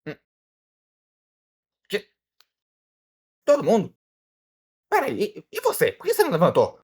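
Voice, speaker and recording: A man voicing a blunt, exasperated alligator detective, a ship's guard who questions people in a casual, muttering way, in an animated film. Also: high-pitched, unhurried, slightly rough, heavily acted. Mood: probing, exasperated. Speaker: ship security guard turned detective. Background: faint music.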